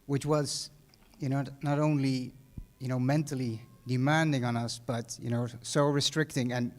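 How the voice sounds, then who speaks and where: A middle-aged man with a Dutch accent giving a casual, rambling graduation speech in a large hall, full of fillers and restarts. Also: medium pitch. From Netherlands. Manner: conversational, many fillers and restarts. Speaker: guest speaker.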